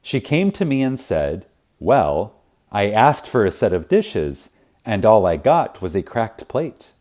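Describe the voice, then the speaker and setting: A man narrating a spiritual audiobook in a reverent, emphatic manner, with deliberate pauses. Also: fairly low pitch, big pitch swings, darkish tone. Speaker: audiobook reader. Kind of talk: scripted narration of a spiritual self-help audiobook.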